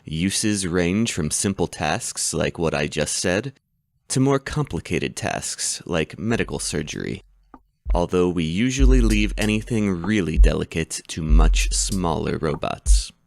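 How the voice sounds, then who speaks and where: An actor playing a calm robotics professor who lectures his class in a measured, explanatory way. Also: low-pitched, gravelly, no room sound, heavily acted. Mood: lecturing. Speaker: doctor teaching a robotics class. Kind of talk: audiobook character reading.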